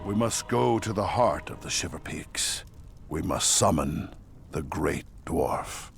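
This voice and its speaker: A man playing a gruff, grave dwarf war leader in a fantasy game, with a gravelly voice. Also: low voice, animated, slow, heavily acted. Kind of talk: dramatic fantasy game acting. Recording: music behind the voice.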